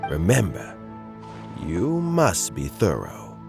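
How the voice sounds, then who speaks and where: An older man playing a kindly, dignified elder in a video game, speaking in a measured, formal manner. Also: low-pitched, huge pitch swings, vocal fry, over music, full character voice. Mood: earnest, solemn. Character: kindly, dignified old male elder, fair-minded mentor. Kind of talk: video game character acting.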